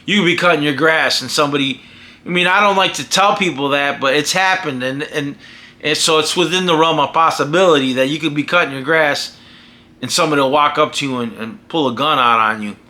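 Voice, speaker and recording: Forceful, opinionated American man vlogging. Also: on the high side, fairly quick. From United States. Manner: emphatic, rambling with frequent restarts. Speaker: vlogger and podcaster. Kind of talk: casual opinionated monologue.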